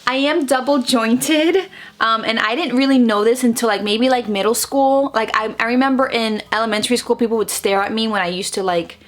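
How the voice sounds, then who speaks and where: A woman in her thirties whose first language is Spanish, chatting through a casual vlog in her bedroom. She talks animatedly with her hands, full of fillers and quick restarts. She sits close to the camera. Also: a little high. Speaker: vlogger. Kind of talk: casual facts-about-me vlog. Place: bedroom at home, indoors. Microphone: camera close in front, seated.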